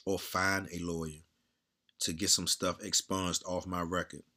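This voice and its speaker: Man with a strong, loud, very deep voice. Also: little pitch movement, a little slow. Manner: blunt, candid, swears freely, repeats himself. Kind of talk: casual monologue.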